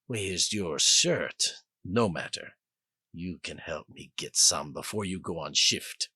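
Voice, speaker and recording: A man voicing a greasy, leering older male guard in an audiobook, with a slow, lowered drawl. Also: animated, pushed and bright, slightly rough, no echo, clean studio sound, full character voice. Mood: menacing.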